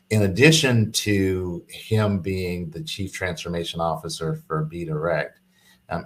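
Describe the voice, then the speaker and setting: A man who is a business executive guest in an interview, explaining steadily with many fillers and quick affirmations. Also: a bass voice. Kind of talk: casual interview chat.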